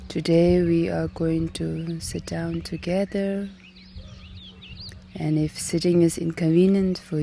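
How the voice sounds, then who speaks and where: A woman guiding a meditation in a calm, gentle manner, leaving long pauses between short phrases. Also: lowish voice, a little hiss or hum. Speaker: meditation and yoga teacher. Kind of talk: guided meditation instruction. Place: outdoors in nature. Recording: outdoor recording with birdsong behind. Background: birdsong and natural ambience.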